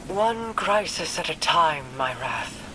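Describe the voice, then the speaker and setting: An actress playing a solemn, composed queen in a video game, speaking formally and with measured authority. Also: on the low side, expressive, noisy background. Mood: composed, commanding. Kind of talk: video game dramatic dialogue.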